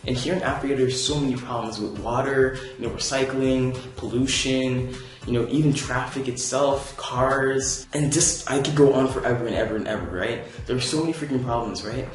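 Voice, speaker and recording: A man's voice: mid-range voice, normal speed. A young man from Canada telling a casual vlog story, rambling and laid-back with many fillers. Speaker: vlogger. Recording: very echoey room.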